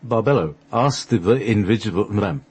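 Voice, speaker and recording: A man narrating a sacred text for a radio play, halting at times as he reads from the script. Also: on the low side, animated, a little mellow, breathy edge. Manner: halting, stumbles over the script and restarts.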